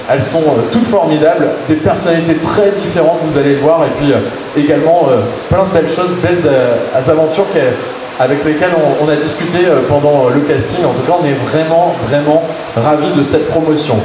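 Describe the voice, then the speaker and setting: A man presenting pageant candidates to the press, upbeat and hesitant with many fillers. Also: on the high side. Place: large echoey hall. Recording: very echoey hall with loud noise.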